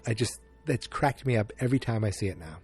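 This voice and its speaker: A man's voice: lowish voice, animated, fast, darkish tone, breathy edge, soft background music. A man from Massachusetts with a Boston accent, hosting a solo podcast in a rambling style full of restarts and fillers. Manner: rambling and self-interrupting, with frequent fillers. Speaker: podcast host.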